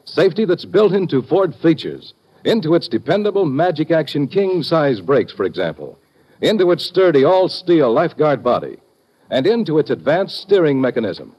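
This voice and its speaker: A man's voice: a little high, sing-song, moderate pace, slightly rough. An American man reading a scripted 1950s radio commercial with emphatic salesman delivery. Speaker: commercial announcer. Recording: dull vintage radio recording.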